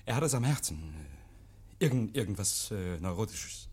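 An actor playing a nervous, guilty man in a 1950s radio play, feigning jovial innocence, stammering and repeating himself, hushed then agitated. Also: lowish voice, lively intonation, moderate pace, slightly rough. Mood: evasive, flustered. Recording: vintage 1950s radio recording.

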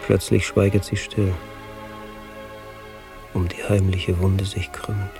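A man narrating in a radio play, reciting classical verse in a solemn, measured, declamatory manner. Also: low-pitched, completely flat delivery, vocal fry, music in the background.

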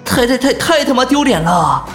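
A man's voice: very high-pitched, big pitch swings, normal speed, fairly bright tone, full character voice. A man acting a bashful, lovestruck young geek who stammers and hesitates, in a romantic audio drama. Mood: flustered, desperate. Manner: stammering, hesitant, easily embarrassed. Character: shy, flustered, lovestruck geeky young man. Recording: music bed under the voice.

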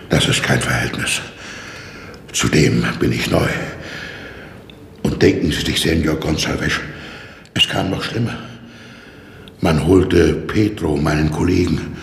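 A fussy, apologetic prison warder in an old radio play, rambling and flustered. His voice is extremely low with a gravelly creak, and it rings with long reverb. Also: slow pace, pauses often, fairly bright tone, heavily acted. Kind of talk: 1960s German radio drama acting. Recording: echoey stone-walled reverb, noisy old radio recording.

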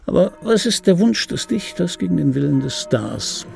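An actor playing a bitter middle-aged dubbing actor, telling his life in a wry, gravelly, husky voice in for a radio play. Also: high voice, sing-song, normal speed, darkish tone, faint music behind, full character voice. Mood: wry, reminiscing. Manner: wry, conversational, self-interrupting. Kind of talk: radio play monologue, intimate storytelling.

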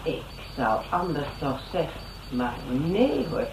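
A woman's voice: low-pitched, big pitch swings, takes it slowly, exaggerated voice. An actress playing a shrewd, mocking female interrogator in a radio drama, with a needling, conversational manner. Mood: taunting. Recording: noisy radio drama recording.

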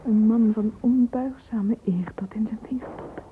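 A woman's voice: fairly high pitch, normal speed, slight background noise, natural voice. An actress playing a dreamy, romantic young woman in a radio play monologue, whispering intimately and fervently through a narrow, phone-like recording. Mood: hushed, infatuated. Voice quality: breathy, whispery. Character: dreamy romantic young woman lost in yearning love fantasies.

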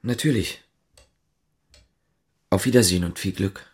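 Casting: reserved policeman on a covert errand, low voice, clipped matter-of-fact delivery, radio play. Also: measured pace. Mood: sober, controlled. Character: Martin, guarded East German policeman on a covert errand. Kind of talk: radio drama acting with inner monologue.

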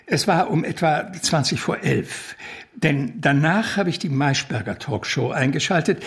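An actor playing a cultured, anguished witness from the art world in a German radio drama, speaking in deliberate, formal phrases and justifying himself. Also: male voice, on the high side, animated, creaky. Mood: anguished confessing.

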